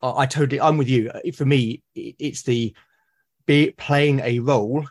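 A British man with a husky, slightly breathy voice at an ordinary pitch, speaking as a podcast guest. He talks earnestly, halting and repeating words as he gathers his thoughts. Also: steady tone. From Britain. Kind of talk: conversational interview.

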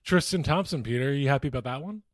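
A man with a mid-pitched voice chats casually about sports as a podcast co-host. His talk is informal and slangy, and the sound is close and dry. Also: racing, no echo.

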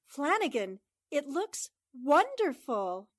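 A woman's voice: high-pitched, very animated, slow, no echo, clean studio sound, heavily acted. A woman acting a smart, plucky newspaper reporter, playful and teasing, in a 1940s-style radio drama. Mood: playful, flattering.

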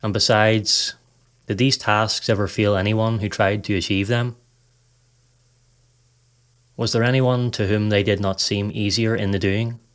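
A man narrating a scripted guided meditation as a podcast host, in a measured, instructional reading manner. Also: lowish voice, steady tone, a bit bright.

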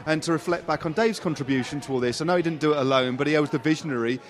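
A man's voice: a little high, very fast. A man in his forties, a former pro cyclist co-hosting a podcast in a bar, enthusiastic and jovial. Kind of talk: casual chat. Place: bar near Madrid.

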